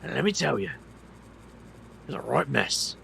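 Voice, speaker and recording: Gravelly, wry British magician played by a man. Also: medium pitch, big pitch swings, unhurried, bright, forceful tone, a little hiss or hum, full character voice. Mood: wry, reflective. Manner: dry, colloquial, self-deprecating. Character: wry, world-weary male magician, scrappy and defensive. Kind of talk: audio drama narration and acting.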